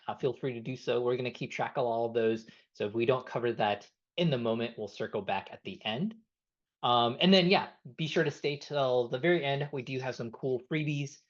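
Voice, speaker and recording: Man hosting a webinar on dull, lo-fi audio. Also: medium pitch. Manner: casual and filler-heavy, many uhs. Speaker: webinar host. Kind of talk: webinar introduction. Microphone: computer mic on a video call. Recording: dull, lo-fi video call audio.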